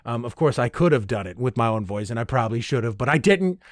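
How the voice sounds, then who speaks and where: A man talks solo as a podcast host in a close, dry recording. He rambles casually with a mid-pitched voice, swearing freely and poking fun at himself with nerdy enthusiasm. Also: fast talker, dry recording. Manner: sweary, self-deprecating, animated nerdy enthusiasm.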